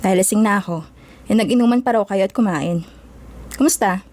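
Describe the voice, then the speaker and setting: A woman's voice: medium pitch, fairly quick, a little mellow, no echo, lightly acted. A Filipino woman voicing a lovestruck, jealous, clingy young office worker in an audio drama. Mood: sulky, jealous.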